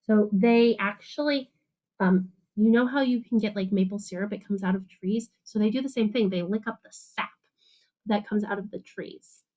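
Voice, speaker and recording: A woman teacher reading a picture book aloud to children in an animated, sing-song way, with simple, casual explanations. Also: medium pitch, normal speed, lo-fi sound.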